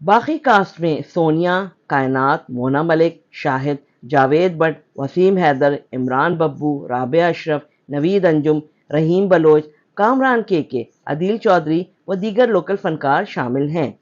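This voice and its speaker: A man's voice: fairly high pitch, animated, hardly any pauses. A man. The recording is dull and lo-fi, and his delivery is that of a scripted announcement. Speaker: news reporter. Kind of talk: scripted news narration. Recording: dull lo-fi voice-over.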